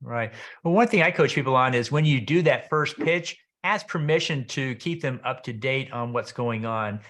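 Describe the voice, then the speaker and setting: A man's voice: mid-range voice, moderate pace, fairly bright tone. A middle-aged or older man hosting an interview in a conversational way. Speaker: interview host.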